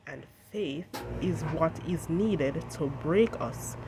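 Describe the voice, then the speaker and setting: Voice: medium pitch, slow, music in the background. A host with a head cold, sounding congested, giving an earnest devotional talk that reads scripture and speaks directly to viewers. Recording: noisy outdoor traffic background.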